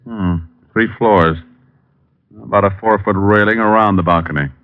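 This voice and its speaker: A man's voice: fairly low pitch, normal speed, close to an everyday voice. An actor playing a dry, wisecracking male private detective in a 1950s radio drama, narrating his own case. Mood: wry. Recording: dull lo-fi old radio broadcast.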